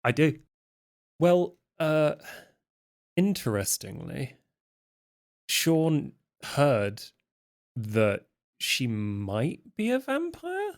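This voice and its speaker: Unhurried man playing a tabletop game. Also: on the high side, huge pitch swings. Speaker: player in a tabletop roleplaying game. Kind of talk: casual explanation of his character's actions.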